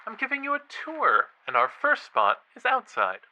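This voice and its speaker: A man's voice: extremely high pitch, wildly swooping pitch, moderate pace, pushed and bright, highs cut off, slight background noise, full character voice. A man playing an eager young college podcaster and ghost believer in an audio drama, with a showy, playful delivery. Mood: playful, intrigued. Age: young adult. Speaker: podcast co-host character.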